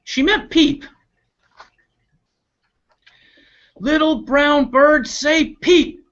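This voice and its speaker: A man voicing a stern, easily annoyed crow in a children's storybook, scolding with drawn-out, insistent words. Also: very high voice, a bit bright, lo-fi sound, voice pushed up, full character voice. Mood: correcting.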